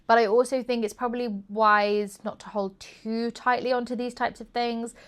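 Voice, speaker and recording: A woman's voice: fairly high pitch, flat delivery, normal speed, clear, resonant tone. A woman vlogger talking in a casual, reflective way, thinking aloud and questioning herself as she goes. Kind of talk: casual, reflective chat to camera.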